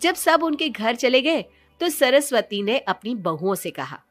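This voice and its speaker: A woman storyteller reads a scripted moral tale. Her voice is on the high side, and music plays behind her narration. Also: very animated, a little slow, fairly bright tone. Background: background music.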